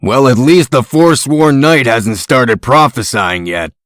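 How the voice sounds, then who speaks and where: A man's voice: medium pitch, unhurried, fairly bright tone, dry recording, natural voice. Irritable hard-boiled man played by an actor, mid-range voice, grumbling sarcasm, comic dub, dry sound. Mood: irritated, sardonic. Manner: sardonic, grumbling. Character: gruff, cynical, irritable male detective type.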